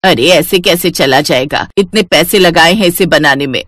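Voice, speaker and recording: A woman's voice: lowish voice, moderate pace, fairly bright tone, no room sound. A woman voicing a cranky older mother-in-law in a cartoon story, wailing, scolding and complaining. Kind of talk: cartoon moral story acting.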